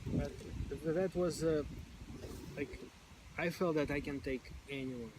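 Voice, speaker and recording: A man's voice: a little high, slow. Man with occasional stutter, noisy outdoors. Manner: occasional stuttered repetitions, informal phrasing. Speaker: stammering support group member. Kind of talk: casual conversation.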